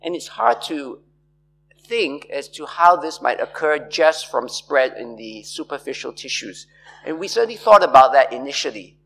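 Gravelly middle-aged man lecturing from a podium. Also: a little high, big pitch swings, fairly bright tone, rough recording. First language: not French. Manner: measured, explanatory, with frequent uh fillers. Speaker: conference lecturer. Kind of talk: academic conference talk. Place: large lecture hall, on stage at podium. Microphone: podium microphone.

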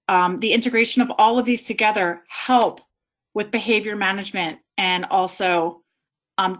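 A woman moderating a teacher panel, asking polite, long winding questions. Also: medium pitch. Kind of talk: casual panel discussion. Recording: dull lo-fi video call audio.

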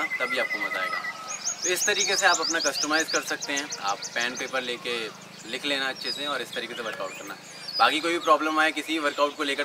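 A man's voice: mid-range voice, very noisy. A young man in his twenties giving casual workout advice on an outdoor rooftop, chatty and peppered with fillers. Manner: chatty, mixes English into Hindi, frequent "uh" fillers. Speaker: fitness YouTuber. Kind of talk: casual instructional vlog. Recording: outdoor recording with clear birdsong. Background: birdsong and outdoor ambience.

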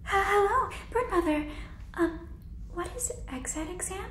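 A young woman playing a naive, earnest alien who speaks haltingly and awkwardly in a soft-spoken ASMR sitcom. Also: very high-pitched. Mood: puzzled. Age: young adult. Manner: stilted, halting, clipped grammar. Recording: roomy echo, background noise.

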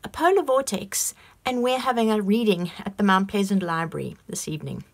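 A woman's voice: medium pitch, fairly quick. A middle-aged woman hosting her own vlog, talking chattily into a phone held at arm's length, with wry asides. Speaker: vlog host and author. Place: home room with bookshelves, then a large community hall.

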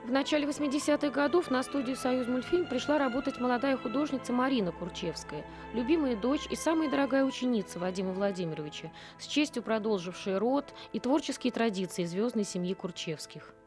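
A woman's voice: fairly high pitch, lively intonation, a little fast, few pauses. A woman reading scripted documentary narration as an off-screen narrator. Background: background music.

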